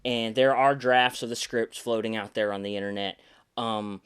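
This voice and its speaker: A man's voice: lowish voice, steady tone, normal speed, clear, resonant tone. Hesitant man telling backstage stories. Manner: hesitant, many ums and restarts. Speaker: podcast host, puppeteer. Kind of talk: casual behind-the-scenes storytelling.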